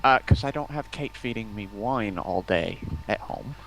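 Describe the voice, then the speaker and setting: A man talking casually as a livestream guest, in a halting, stop-start way. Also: on the low side, animated, crowd noise, low-quality recording. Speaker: guest, community manager. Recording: faint background noise.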